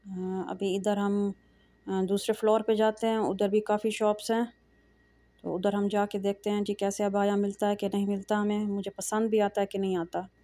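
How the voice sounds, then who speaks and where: A middle-aged woman speaks in a calm, low-key voice, keeping her pitch level and even as she narrates a shopping vlog. Her tone is mellow and dark rather than bright, and she pauses often with small hesitations. The sound is close and dry, recorded on a handheld phone. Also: no echo. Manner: calm, soft-spoken, frequent hesitations and pious phrases. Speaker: vlogger. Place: large indoor shopping mall. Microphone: phone camera held while walking.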